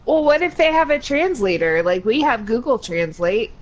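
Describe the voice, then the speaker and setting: A woman's voice: fairly high pitch, sing-song, normal speed, highs cut off. A woman co-hosting a casual group podcast, giggly and loose, filling her talk with "like". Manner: giggly, trails off with "like". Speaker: podcast co-host.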